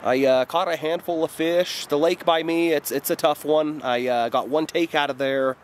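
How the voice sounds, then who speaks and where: A man in his thirties vlogging outdoors by a mountain lake in a relaxed, chatty way with plenty of fillers, recorded on an action camera. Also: a little high, moderate pace. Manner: relaxed, chatty, lots of fillers, excited exclamations. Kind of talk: casual self-filmed narration. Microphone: action camera on body or at arm's length. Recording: wind noise outdoors.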